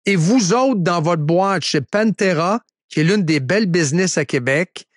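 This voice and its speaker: A man's voice: sits high, lively intonation, moderate pace, no room sound, studio quality. A man with a Quebec French accent hosting a radio talk show, blunt and joking. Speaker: radio host.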